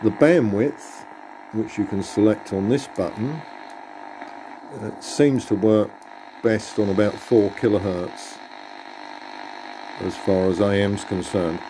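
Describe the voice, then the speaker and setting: A man presenting a radio receiver in a casual, unhurried, matter-of-fact demonstration. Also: lowish voice, background noise.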